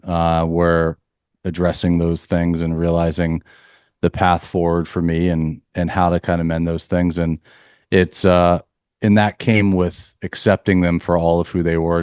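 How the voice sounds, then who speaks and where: Low, flat-voiced man from Florida. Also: normal speed, clean tone, no room sound, studio quality. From Central Florida, US. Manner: calm, earnest, spiritual phrasing. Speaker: leadership coach for athletes. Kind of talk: reflective podcast interview.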